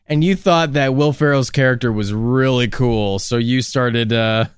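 A man co-hosting a comedy podcast, riffing absurd bits with a deadpan delivery. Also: mid-range voice, a little slow, clear, resonant tone. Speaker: podcast co-host. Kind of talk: improvised comedy podcast banter.

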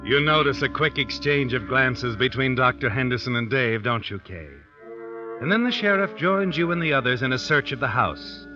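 An actor playing a knowing, suspenseful narrator who tells an old-time radio drama in the second person. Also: male voice, medium pitch, lively intonation, moderate pace, natural voice. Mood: ominous, insistent. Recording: dull vintage radio recording with music.

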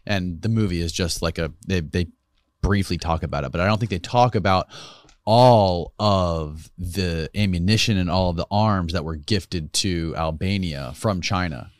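Very low-voiced curious podcast host. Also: male voice, normal speed, no echo, clean studio sound. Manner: curious, thoughtful questioner. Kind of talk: casual podcast conversation.

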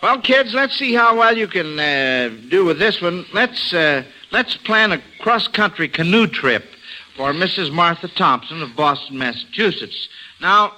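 A man's voice: sits high, expressive, measured pace, bright, forceful tone. An American man hosting a mid-century radio quiz show and reading sponsor messages in a folksy, chatty way with hesitant asides. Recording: noisy vintage radio recording.

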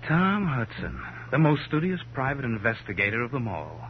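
An actor playing a mocking, tough American cop in a 1950s radio crime drama, sarcastic and slangy. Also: male voice, mid-range voice, big pitch swings, moderate pace, natural voice. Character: mocking, needling tough male cop, a detective's sidekick. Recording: muffled old radio with faint hiss.

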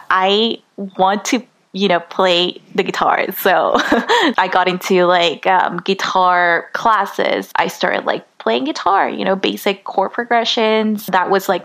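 A woman in her twenties from Peru, speaking as a guest singer-songwriter in a casual interview, chatty and quick to laugh. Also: mid-range voice, gravelly, a bit bright. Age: 20s. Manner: chatty, bubbly, laughs often, many fillers.

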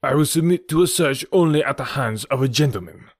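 A man's voice: medium pitch, lively intonation, brisk, no room sound, clean studio sound, pitched above the performer's own voice, close to an everyday voice. A man voicing a proud French count in an audiobook, formal and dramatic, indignant yet courtly. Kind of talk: audiobook character voice.

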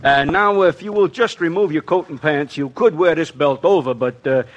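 A man's voice: on the high side, normal speed, dull audio, a little hiss or hum, lightly acted. An actor playing a slangy, coaxing American department-store salesman in a 1950s radio comedy, punctuating the patter with uhs. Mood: persuasive.

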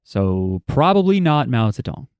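A man's voice: medium pitch, sing-song, unhurried, a little mellow, smooth, no room sound, studio quality. A man co-hosting a casual chat, rambling and thinking aloud with plenty of fillers. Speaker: podcast co-host.

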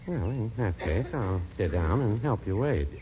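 An actor playing a mild, prim, deadpan older small-town druggist in a 1940s radio comedy. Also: male voice, on the low side, a little slow. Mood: mild, chatty. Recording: dull, noisy old radio recording.

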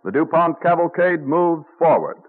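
A tinny, band-limited old radio broadcast carries an American man's voice, pitched a little high. He announces in a formal, stately 1930s style, reading scripted copy with measured care, with music around the program. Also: expressive.

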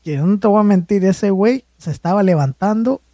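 Young male podcast co-host, Mexican accent, slangy and rambling, mellow tone. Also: sits high, fairly quick, no echo, studio quality. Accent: Mexican Spanish. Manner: slangy, rambling, swears freely. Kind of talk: casual chat.

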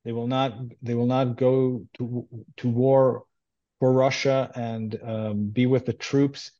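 Mellow-voiced man. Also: medium pitch, level tone, takes it slowly, dull audio. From Israel. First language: Hebrew. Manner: hesitant, many ums and restarts. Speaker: translator, guest. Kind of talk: casual interview talk.

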